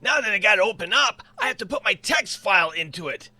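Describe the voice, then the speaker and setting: A man with an extremely high, bright voice presents an upbeat how-to lesson. His delivery swings widely in pitch and leans goofy, with exaggerated exclamations and asides. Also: animated, quick pace, far from the mic. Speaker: instructor.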